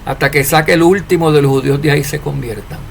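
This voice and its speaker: A man preaching an informal sermon to his followers, asking rhetorical questions and swinging between loud and soft. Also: on the high side, fast talker, creaky, a little hiss or hum. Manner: preachy, rhetorical questions, animated rises and drops in loudness.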